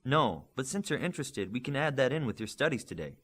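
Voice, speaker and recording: A man voicing a polite, encouraging female schoolteacher in an audiobook, measured and instructive. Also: medium pitch, very animated, a bit bright, breathy edge, pitched above the performer's own voice.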